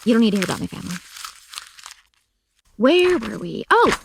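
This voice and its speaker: A woman's voice: a little high, sing-song, moderate pace, gravelly, background noise. A young woman playing a sardonic loner mortician in an audio drama, with chatty asides to the listener. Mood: flustered, sardonic. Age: young adult. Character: wry young woman mortician, loner who sees monsters. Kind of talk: first-person audio drama narration and dialogue.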